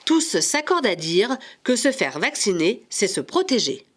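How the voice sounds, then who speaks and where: A woman narrating a local news report in a measured, scripted voice-over. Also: mid-range voice, sing-song, fairly bright tone.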